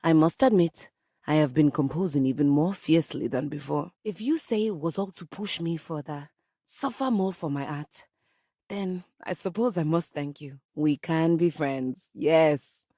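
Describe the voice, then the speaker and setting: A woman's voice: low-pitched, unhurried, mellow, no echo, studio quality. A woman playing a proud, vain piano come to life in a video game, theatrical and grandiloquent in manner. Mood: offended, indignant, then lofty, musing. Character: proud, dramatic female living piano, vain musical virtuoso. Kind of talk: video game character acting.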